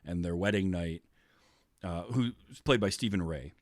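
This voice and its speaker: Low-voiced man chatting casually. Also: measured pace, no echo, clean studio sound. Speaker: podcast host. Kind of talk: casual movie discussion.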